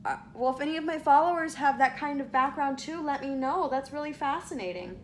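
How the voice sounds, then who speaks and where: A woman's voice: high voice, fairly quick, slight background noise. A young woman vlogging casually, reading results aloud with chatty asides and tripping over place names.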